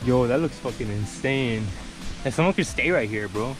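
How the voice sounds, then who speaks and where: A man's voice: medium pitch, lively intonation, normal speed, music in the background, poor audio. Profane, laughing young man on a trail. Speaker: vlogger hiking with a friend. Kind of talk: casual chat. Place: outdoors on a wooded creek trail. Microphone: handheld camera while walking.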